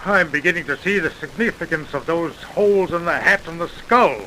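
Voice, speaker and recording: A man's voice: fairly high pitch, brisk, bright, forceful tone, low-quality recording. A man playing a breezy, wisecracking police trooper sidekick in an old-time radio drama. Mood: curious. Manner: joking, breezy. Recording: hissy vintage radio recording.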